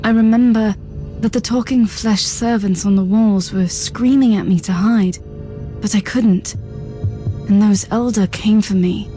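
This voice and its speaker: A woman's voice: mid-range voice, fairly even intonation, a little slow, mellow, soft background music, close to an everyday voice. A woman playing a troubled, resentful young psychic captive in a video game, guarded and wounded in manner. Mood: haunted. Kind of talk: dramatic video game voice acting.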